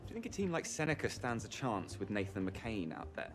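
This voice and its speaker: A man playing a polite, probing documentary interviewer with a husky voice. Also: fairly low pitch, big pitch swings, a little fast, over music. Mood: inquiring.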